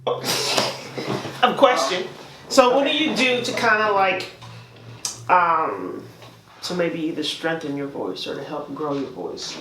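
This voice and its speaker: A woman's voice: on the low side, animated, takes it slowly, long pauses, reverberant, distant mic, noisy background. A woman hosting a casual conversation, friendly and laughing as she asks questions. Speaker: host.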